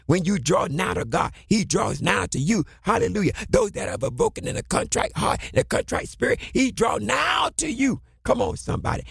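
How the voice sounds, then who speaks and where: A man preaching a fervent sermon in a raspy, gravelly voice, exhorting with impassioned, repeated call-and-response phrases that swing into shouts. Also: sits high, no echo, studio quality.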